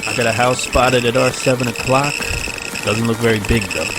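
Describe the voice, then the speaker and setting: An actor playing an alert, blunt army squad soldier in an audio drama. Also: male voice, medium pitch, fairly even intonation, normal speed, heavy background noise, natural voice.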